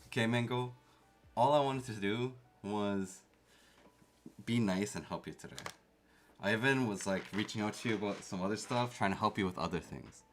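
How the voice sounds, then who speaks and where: A man's voice: lowish voice, moderate pace, faint music behind. A young man from Canada who grew up speaking Korean at home, chatting casually with live-stream viewers and reading the chat aloud. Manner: chatty, reads chat aloud, playfully defensive.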